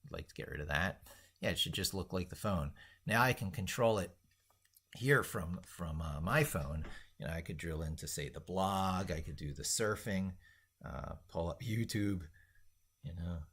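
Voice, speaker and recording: A man with a low voice hosts a casual live stream. He talks through what he is doing on screen in a rambling way, thinking aloud as he goes. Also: fairly bright tone. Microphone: speaking into a microphone.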